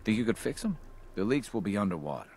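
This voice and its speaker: An actor playing a gruff middle-aged settler who is impatient and brusque with a stranger. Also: male voice, lowish voice, sing-song, quick pace, a little mellow. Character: gruff middle-aged male settler working a water pump. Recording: game audio from a distance with noise.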